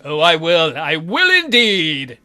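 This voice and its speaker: A man voicing a dry, sardonic vampire count who speaks deliberately, with mock-polite menace, for a visual novel game. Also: fairly high pitch, huge pitch swings, bright, forceful tone, exaggerated voice. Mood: coolly commanding.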